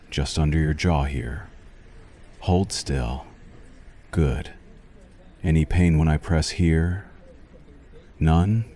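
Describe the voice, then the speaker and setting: A man playing a sinister, possessive vampire doctor in an ASMR roleplay, silkily courteous and softly menacing, with slow, deliberate delivery. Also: extremely low pitch. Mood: intimate, clinical. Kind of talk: ASMR roleplay addressed to the listener.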